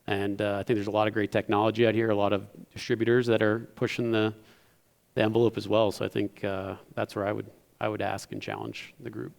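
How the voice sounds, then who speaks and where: A man with a low, gravelly voice gives steady advice as a panelist. He talks flatly, with little rise and fall, and hedges with fillers. A long hall reverb surrounds him. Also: fast. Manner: steady, advisory, hedging fillers. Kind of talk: unscripted panel discussion.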